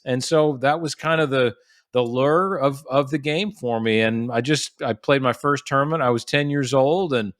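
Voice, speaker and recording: Man, 40s, from the US, relaxed rambling guest storyteller, mid pitch, dry close mic. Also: moderate pace, dry recording. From United States. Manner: chatty storyteller with frequent restarts and fillers. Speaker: guest, golf professional. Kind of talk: casual podcast interview.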